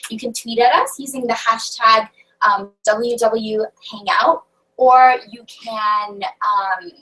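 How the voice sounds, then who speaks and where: A woman's voice: on the high side, steady tone, slow, bright, forceful tone, recorded from a distance, poor audio. A young woman hosting a casual student video call, bubbly, with lots of fillers. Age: young adult. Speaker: host.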